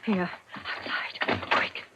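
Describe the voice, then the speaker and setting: A woman's voice: takes it slowly, natural voice. An actress playing a desperate gang woman who pleads urgently and breathlessly, in 1940s radio style. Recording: noisy muffled old radio recording with clicks.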